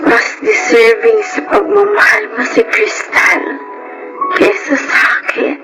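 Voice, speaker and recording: Woman acting high, plaintive Filipino married woman. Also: big pitch swings, exaggerated voice, rough recording. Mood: tearful, resigned. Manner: plaintive, measured. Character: sorrowful married woman resisting a forbidden love. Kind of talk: melodramatic Tagalog audio drama. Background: loud background music.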